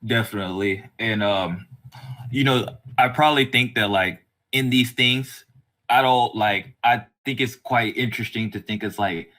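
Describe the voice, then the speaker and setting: A man with a slightly low, bright and forward voice hosts a relaxed podcast conversation. He rambles a little, circling his thoughts with frequent small filler phrases. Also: normal speed. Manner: hesitant, rambling phrasing with many "like" and "you know". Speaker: podcast host. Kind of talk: casual podcast interview.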